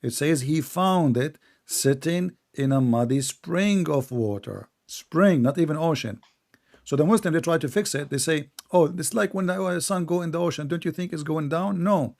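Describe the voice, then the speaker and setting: Male debate host, native Arabic speaker with Arabic accent, slightly high voice, insistent and probing, repeats points for emphasis. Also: normal speed, vocal fry, darkish tone. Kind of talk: live religious debate.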